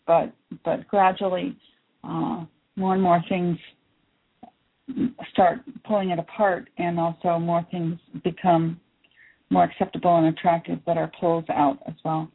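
Woman, middle-aged, husky, lowish voice, hesitant interview answers, tinny phone line. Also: level tone, unhurried. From Taiwan. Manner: thoughtful, hesitant with many fillers. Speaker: psychologist guest.

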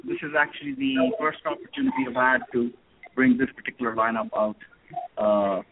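A man's voice: medium pitch, brisk, very noisy. A man with a Pakistani accent, a guitarist and band leader speaking plainly by phone from a crowded place. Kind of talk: phone interview. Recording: phone line, crowd chatter behind.

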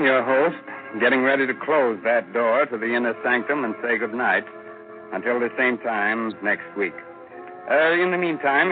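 A man's voice: medium pitch, narrow, tinny audio, close to an everyday voice. Man playing a sardonic ghoulish old-time radio host. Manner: sardonic, mock-sinister. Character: mocking, sardonic, ghoulish male horror host. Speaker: horror anthology host. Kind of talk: 1940s radio horror hosting. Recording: band-limited old radio broadcast with music.

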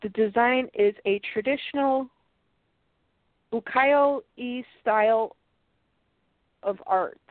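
A woman with a congested, stuffed-up voice talks through beauty products in a relaxed, chatty way, stumbling and restarting as she reads the labels aloud. Her voice comes through a thin, tinny, phone-like line. The sound is close and dry, with no room around it. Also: a little high, no echo. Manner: chatty, halting restarts, light laughs. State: stuffed up nose. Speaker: co-host reviewing products. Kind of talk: casual unboxing chat, reading package text aloud.

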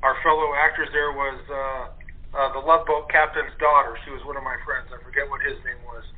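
A middle-aged American man chatting casually as a guest, halting as he searches through his memories. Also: mid-range voice, level tone. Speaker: cast member guest. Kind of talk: casual chat, reminiscing. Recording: tinny noisy phone line.

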